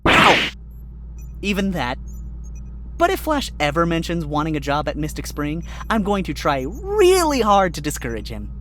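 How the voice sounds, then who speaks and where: A woman's voice: deep voice, huge pitch swings, normal speed, pauses often, a bit bright, a little hiss or hum, full character voice. A woman voicing a sardonic male fox. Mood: teasing. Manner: sardonic, teasing. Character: wry male fox, ex-con artist turned lawyer. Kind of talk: fan audio drama acting.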